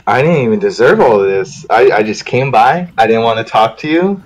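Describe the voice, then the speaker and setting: A man's voice: mid-range voice, animated, brisk, rough recording. Casual male group-read participant, mid register, joking conversational delivery.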